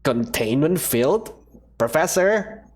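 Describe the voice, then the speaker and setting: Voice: medium pitch, lively intonation, slow pace, husky. A voice actor playing a cautious young male student who talks in a hesitant, worried, stammering way. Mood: wary. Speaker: player character in a fan visual novel.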